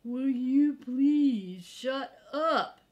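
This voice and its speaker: A woman voicing a gruff, bossy male ranch dog in a storybook, with an impatient, folksy, commanding manner. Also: high-pitched, extremely slow, darkish tone, lo-fi sound. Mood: exasperated.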